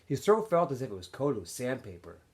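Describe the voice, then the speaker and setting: Man reading aloud with very lively pitch. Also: fairly quick. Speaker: teacher reading a novel aloud for students. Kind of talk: expressive audiobook-style read-aloud narration.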